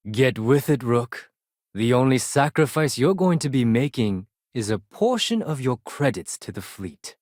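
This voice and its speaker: A man's voice: medium pitch, a little mellow, no room sound. A man voicing an arrogant space pirate accountant and hacker for a video game, talking with dry, condescending sarcasm in clipped phrases. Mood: cool, condescending. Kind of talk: scripted video game character dialogue.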